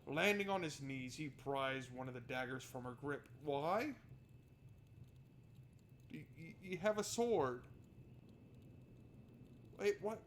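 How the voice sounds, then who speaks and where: A man's voice: a little high, lively intonation, slow, long pauses, fairly bright tone, slight background noise. A man hosting a visual novel playthrough, reading narration aloud and adding casual, wry asides. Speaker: gaming video host.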